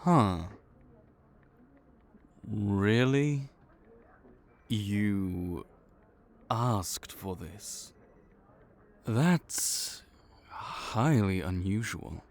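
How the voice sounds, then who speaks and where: A man voicing a calm, bureaucratic male vampire counselor who has done the job for decades, speaking in a measured, clinical way in an audio roleplay. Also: on the low side, full character voice. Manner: measured, clinical, patiently reassuring.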